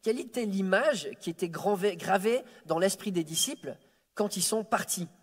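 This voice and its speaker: A man's voice: high-pitched, expressive, normal speed, breathy edge. A man, preaching a Sunday sermon as a guest, joking about himself and often repeating words as he restarts. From France. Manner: warm self-deprecating humor, frequent stammered repeats. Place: church sanctuary. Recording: reverberant church hall.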